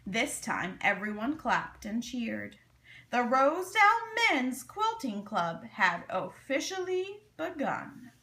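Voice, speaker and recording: A woman reading a children's story aloud in an expressive, deliberate, dramatic way. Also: fairly high pitch. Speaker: storybook reader.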